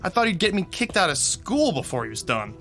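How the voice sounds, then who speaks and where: An easygoing young stagehand. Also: male voice, sits high, big pitch swings, fairly quick, pushed and bright, exaggerated voice. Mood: casual. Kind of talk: radio drama acting. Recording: radio drama with faint music.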